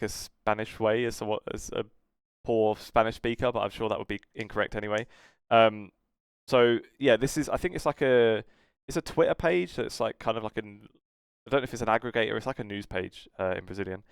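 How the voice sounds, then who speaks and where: A man's voice: fairly low pitch, fairly quick, creaky, clear, resonant tone, dry recording. A man with a British accent co-hosting a casual esports podcast, talking in a rambling, hesitant way that trails off. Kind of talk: casual esports news discussion.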